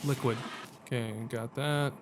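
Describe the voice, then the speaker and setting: A man's voice: medium pitch, fairly even intonation, unhurried, background noise. A man doing casual let's-play commentary as a gaming host, joking and self-deprecating in slangy talk.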